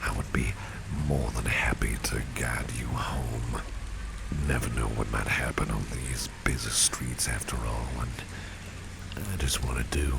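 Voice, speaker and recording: A man playing a protective, flirtatious boss with courtly manners in an ASMR roleplay, speaking in a gravelly, breathy half-whisper. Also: a bass voice, full character voice. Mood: courtly, soothing. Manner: slow, suave, courtly phrasing. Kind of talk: ASMR roleplay spoken to the listener. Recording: steady rain and street ambience noise. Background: rain falling, passing vehicles.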